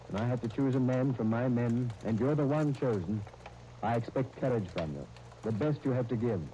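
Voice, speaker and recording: An actor in a 1930s radio drama playing a captain, an earnest, measured older brother, on a noisy old broadcast disc. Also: male voice, mid-range voice, mellow, natural voice. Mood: grave, resolute. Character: British army captain, dutiful reluctant older brother.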